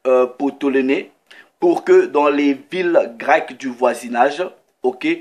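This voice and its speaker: A man preaching in French, reading scripture aloud and stopping often to check in with listeners and comment. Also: a little high. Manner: reads scripture slowly, breaks in with "ok" and comments. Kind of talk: sermon with Bible reading.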